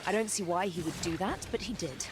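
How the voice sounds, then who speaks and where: Woman as a stern, low-pitched warrior. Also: a little fast, background noise, natural voice. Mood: bitter. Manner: terse, solemn. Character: stern, bitter, determined warrior woman, former Republican soldier. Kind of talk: video game voice acting. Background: game music.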